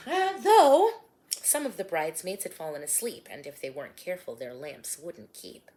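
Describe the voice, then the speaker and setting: Lady reading a rhyming bedtime story, soft and lilting, kinda low voice. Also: big pitch swings, normal speed. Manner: gentle, lilting, hushed at line ends. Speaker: author and storyteller.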